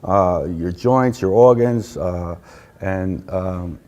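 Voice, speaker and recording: Low, mellow-voiced man from New York. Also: slow pace. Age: middle-aged. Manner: chatty, laughs easily, many fillers. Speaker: patient advocate guest. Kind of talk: casual interview. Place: conference room, indoors. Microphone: lapel.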